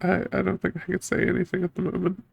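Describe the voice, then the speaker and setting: A streamer talking to viewers in an unscripted way, tearful, sniffling and choked up from crying, halting and at a loss for words. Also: medium pitch, completely flat delivery, creaky, slightly rough, highs cut off. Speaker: streamer, game playthrough host. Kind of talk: emotional unscripted chat to viewers after finishing a game.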